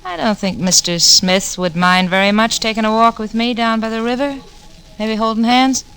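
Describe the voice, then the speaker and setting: An actress playing a cool, calculating blackmailer, clipped and seductive, in 1940s radio crime drama style. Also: female voice, a little high, steady tone, normal speed, fairly bright tone, clean tone. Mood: scheming, seductive. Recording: noisy vintage 1940s radio recording.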